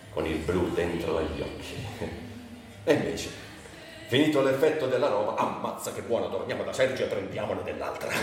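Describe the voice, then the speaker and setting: An actor playing a troubled, bitter man in a volatile, haunted literary monologue for radio drama. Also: fairly low pitch. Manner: brooding, volatile, swinging between outbursts and murmurs. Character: troubled, bitter man recalling drug years, cynical and haunted. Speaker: stage actor interpreting a monologue. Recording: distant, very echoey, faint background noise.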